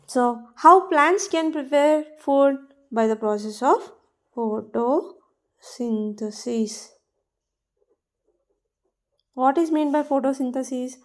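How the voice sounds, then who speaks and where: A woman with a South Indian accent giving a biology lesson in a didactic, emphatic way, repeating key terms. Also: high voice. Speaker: biology teacher. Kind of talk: classroom lecture, explaining definitions.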